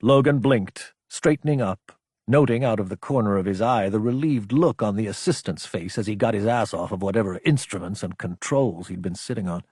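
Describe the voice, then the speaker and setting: A man's voice: lowish voice, fairly quick, darkish tone, slightly rough, dry recording, clean studio sound. A man reading an audiobook as dramatic scripted narration.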